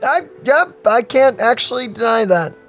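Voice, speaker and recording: A woman giving casual, expressive let's play commentary, exclaiming and reading game text aloud. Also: a little high, normal speed. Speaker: gaming video co-host. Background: game music.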